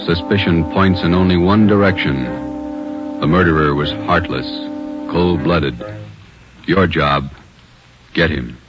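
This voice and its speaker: A man giving solemn scripted narration for vintage radio, deliberate and authoritative. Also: low-pitched, loud music behind the voice. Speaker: announcer and narrator. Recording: muffled old radio recording.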